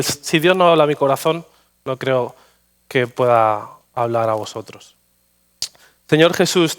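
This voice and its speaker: A man's voice: a little high, clear, resonant tone. A man from Spain with a Madrid accent, preaching a sermon in a church and telling anecdotes with self-deprecating humor. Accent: Madrid Spanish. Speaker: guest preacher. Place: church sanctuary.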